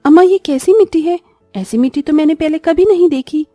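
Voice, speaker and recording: A woman voicing a poor, good-hearted young daughter-in-law, earnest and expressive, in a cartoon story. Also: high-pitched, fairly quick, no room sound, soft background music, close to an everyday voice. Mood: amazed.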